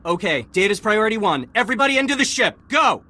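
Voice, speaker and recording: An actor playing an army lieutenant in a video game, giving urgent commands. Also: male voice, high-pitched, big pitch swings, fast talker, bright, forceful tone, heavily acted. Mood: determined. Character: brisk male Republic army lieutenant. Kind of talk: game cutscene acting.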